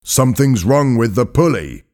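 A man voicing a steady, practical boat captain in an audiobook story, measured and matter-of-fact. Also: medium pitch, pitched above the performer's own voice, close to an everyday voice. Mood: concerned.

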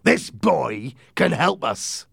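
A man's voice: a little high, lively intonation, slow, gravelly, fairly bright tone, husky, no room sound, clean studio sound, pitched above the performer's own voice, heavily acted. A man voicing a wily, commanding pirate leader in a storybook reading. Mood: persuasive.

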